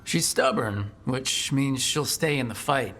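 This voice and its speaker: A man voicing a calm, encouraging and plain-spoken leader in a video game. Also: medium pitch, a little slow, creaky, a little hiss or hum, full character voice. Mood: reassuring. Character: steady, reassuring male hero and leader.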